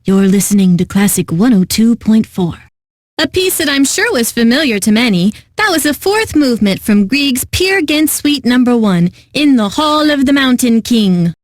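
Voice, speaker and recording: A woman's voice: fairly high pitch, sing-song, normal speed, no room sound, clean studio sound. An actress playing an eccentric, lovelorn female classical radio host in a video game, announcing with genteel poise and wistful, theatrical delivery. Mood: poised, informative. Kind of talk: scripted radio DJ announcing with comic drama.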